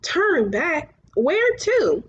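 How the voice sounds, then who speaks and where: A woman's voice: sits high, very animated, extremely slow, voice pushed up, full character voice. A woman voicing a weary, determined young boy hero in a storybook, questioning and stubborn. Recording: muffled, clearly noisy home recording.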